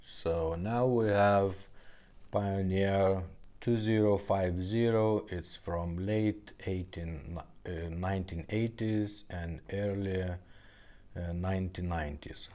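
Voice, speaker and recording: A man giving a casual product demonstration as host, talking in plain, short, simple phrases with pauses. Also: low voice, dark tone. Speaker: host, car radio repair technician demonstrating a unit.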